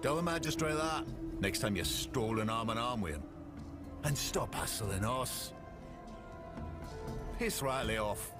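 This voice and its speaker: A man playing a gruff, defiant working townsman in a video game, curt and guarded with strangers. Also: medium pitch, animated, unhurried, long pauses, lightly acted. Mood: hostile, defiant. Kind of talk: video game dialogue acting. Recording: loud game music behind.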